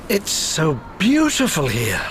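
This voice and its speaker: A man's voice: on the high side, wildly swooping pitch, slow pace, a bit bright, heavily acted. Radio drama runaway: man, evasive, musing, tentative, hugely varied intonation, noisy ambient setting. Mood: dreamy, evasive. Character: evasive, dreamy runaway man hiding his past.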